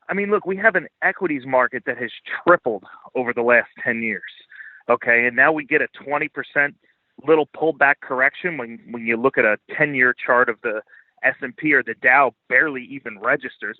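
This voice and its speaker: A man's voice: medium pitch, a little fast, no room sound. Phone-line man, blunt and emphatic. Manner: blunt, profane, emphatic, repeats points. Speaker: podcast guest, financial commentator. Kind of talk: opinionated interview commentary. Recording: thin phone-like line, dry.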